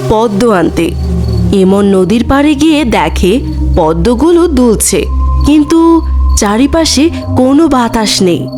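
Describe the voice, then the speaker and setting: Casting: female horror-story narrator, high pitch, slow scripted delivery, music bed. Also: expressive.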